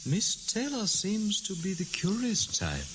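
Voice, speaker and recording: An actor playing a crooked vaudeville impersonator who poses as a fortune-teller madame, menacing and theatrical, in 1940s radio melodrama. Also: male voice, high voice, wildly swooping pitch, unhurried, fairly bright tone, heavily acted. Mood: sly, menacing. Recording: noisy, hissy 1940s radio recording.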